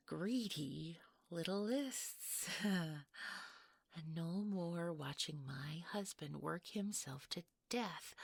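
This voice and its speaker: A woman playing a sweetly menacing, possessive Mrs. Claus in an intimate audio roleplay, cooing and teasing in a breathy, husky, often whispering voice. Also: sits low, darkish tone, no echo, exaggerated voice. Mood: quietly menacing. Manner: slow, cooing, teasing, condescending.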